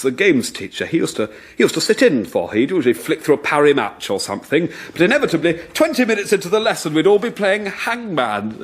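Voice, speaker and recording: A man's voice: mid-range voice, close to an everyday voice. A man playing a jolly, rambling children's radio presenter with chirpy patter. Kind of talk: sketch comedy acting.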